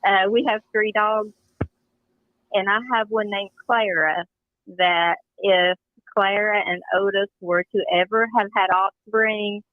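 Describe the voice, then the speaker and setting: A woman's voice: mid-range voice, fairly even intonation, slow, no room sound. A woman calling into a show, chatty and friendly, repeating her words, over a tinny phone line. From Kingsport, US East. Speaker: caller.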